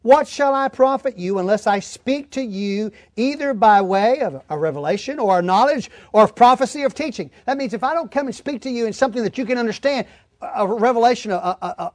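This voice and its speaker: A man's voice: sits high, no room sound, studio quality. A man preaching a verse-by-verse Bible lesson in an emphatic, folksy, plain-spoken way. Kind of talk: Bible-teaching sermon, verse-by-verse reading and explanation.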